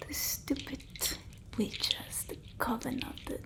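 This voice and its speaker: A woman voicing a fussy, condescending female vampire in ASMR roleplay, mostly whispered, close to the mic. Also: lightly acted. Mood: anxious, secretive. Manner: halting, fussy, gently bossy, blunt. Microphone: close ASMR microphone.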